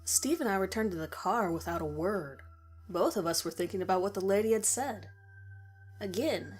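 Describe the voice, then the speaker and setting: A woman's voice: medium pitch, slightly rough. A woman reading a scripted horror story in the first person as its narrator. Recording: music behind the voice. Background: background music.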